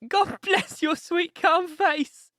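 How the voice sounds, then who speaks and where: A man's voice: very high voice, sing-song, brisk, fairly bright tone, dry recording, studio quality, pitched above the performer's own voice, full character voice. A man voicing an anxious, devoted old housekeeper woman in an audiobook story, fretful and effusive. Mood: tearful, tender. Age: older.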